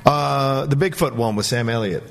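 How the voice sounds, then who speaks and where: Male talk show panelist, average register, animated fan enthusiasm, conversational delivery. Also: mid-range voice, normal speed, gravelly, slight background noise. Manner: enthusiastic, emphatic. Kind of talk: casual panel chat.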